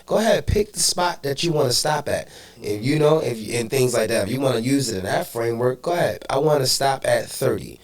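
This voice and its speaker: Animated man hyping up the talk. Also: mid-range voice, brisk. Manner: animated, hypes others up. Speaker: talk show host. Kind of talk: casual chat.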